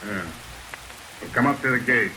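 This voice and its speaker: An actor playing a gruff, bossy small-town police sergeant, curtly giving orders in an old radio drama. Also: male voice, mid-range voice, normal speed, background noise, lightly acted. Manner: curt, barking orders. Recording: old radio recording under rain sounds.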